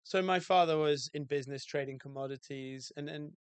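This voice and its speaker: A man with a British accent, a guest on a podcast, talking in a relaxed, reflective way with many fillers and restarts. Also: on the high side, fairly quick, clean tone, no room sound. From UK, outside London. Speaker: guest, hotel owner. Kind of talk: casual podcast interview.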